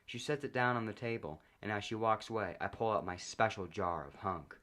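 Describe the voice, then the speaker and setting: A man reading a comedic first-person story aloud as narrator, in a deadpan manner. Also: fairly low pitch, fairly quick.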